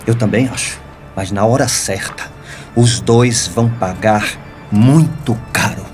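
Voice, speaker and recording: A fatherly, cautious priest played by a man with a fairly low Brazilian voice. He speaks gently and with measure, radio soap style, over clearly audible music. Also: a little slow, natural voice. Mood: grave.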